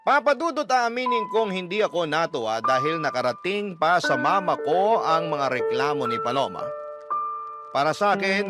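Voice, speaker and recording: A man narrates a personal letter in radio storytelling style. His voice is a little higher than average, earnest and confiding. Music plays loudly behind him throughout. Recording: loud music under the voice. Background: prominent background music.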